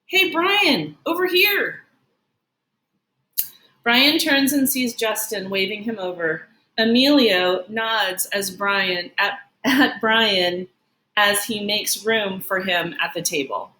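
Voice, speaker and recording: A woman reading a children's picture book aloud like a teacher, in an expressive, deliberate way. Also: medium pitch. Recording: roomy, echoing sound.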